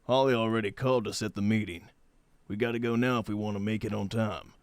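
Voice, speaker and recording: A man playing a calm, matter-of-fact medic in an audio drama, with measured, formal phrasing. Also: lowish voice, smooth, no room sound, lightly acted. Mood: firm, apologetic.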